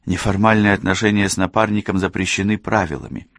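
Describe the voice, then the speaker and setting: A man's voice: deep voice, fast, a bit bright, dry recording, studio quality, voice pushed up. A man voicing a young female detective who pleads in a strained, hesitant, apologetic way. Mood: pleading.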